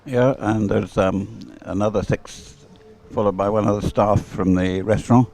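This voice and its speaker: A man's voice: lowish voice, fairly even intonation, a little slow, creaky, a little mellow. A man doing live sports commentary and off-the-cuff chat in a hesitant, rambling way, often repeating words.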